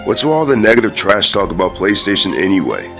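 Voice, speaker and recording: A man's voice: sits low, big pitch swings, brisk, music in the background. A man voicing a big, brash, hot-headed and shameless gorilla in a scripted comedy cartoon. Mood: defensive. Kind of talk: scripted comic cartoon dialogue.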